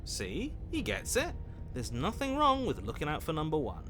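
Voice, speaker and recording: A man playing a sly, self-serving voice in a hero's head in a video game, smooth-talking and insinuating. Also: fairly high pitch, wildly swooping pitch, moderate pace, a bit bright, faint music behind. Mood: slippery, scheming. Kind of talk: video game character acting.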